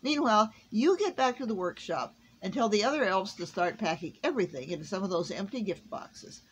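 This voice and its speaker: A hearty old Christmas gentleman from a children's storybook acted out by a woman. He is jolly and commanding, with lively swings in pitch and exclamatory phrasing, over a faintly noisy recording. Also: a little fast, natural voice. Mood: confident.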